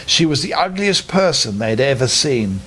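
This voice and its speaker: An older man reading a children's bedtime story aloud in an expressive, unhurried, dramatic storytelling manner. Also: medium pitch, husky.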